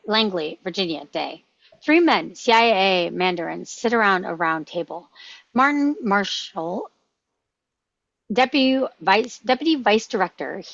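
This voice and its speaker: A woman's voice: mid-range voice, a bit bright, no room sound, lo-fi sound. A woman reading stage directions as scripted narration at a screenplay table read.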